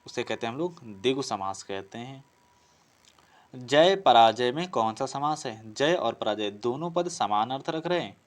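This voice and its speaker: A man teaching an exam-prep class, explaining step by step, repeating phrases and answering his own questions. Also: mid-range voice. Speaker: teacher for competitive exam Hindi grammar. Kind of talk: classroom lesson working through practice questions.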